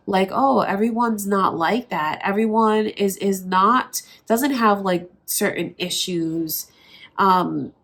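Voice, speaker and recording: A woman reading tarot cards in an easy, conversational way, speaking right to the listener. Her voice sounds stuffed up and congested from allergies, sitting at a middle pitch for a woman. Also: fairly bright tone. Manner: conversational, reassuring, talks straight to the viewer. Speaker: tarot reader. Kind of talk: casual tarot reading to camera.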